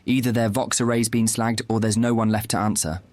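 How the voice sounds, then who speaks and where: Man voicing a low, muttering starship comms officer. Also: little pitch movement, brisk, a little mellow, natural voice. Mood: grim, unsettled. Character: gruff, unsettled male starship communications officer.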